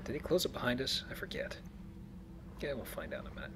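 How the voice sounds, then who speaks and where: A man's voice: mid-range voice, very animated, quick pace, very noisy. A man hosting a let's play, commenting casually on the game with dry jokes and wordplay.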